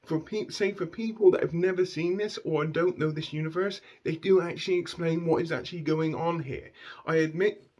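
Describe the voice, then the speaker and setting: A man with a croaky voice giving an enthusiastic casual movie review, repeating words and often tagging "okay?" onto his sentences. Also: fairly high pitch, moderate pace, a little mellow. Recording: dull lo-fi recording.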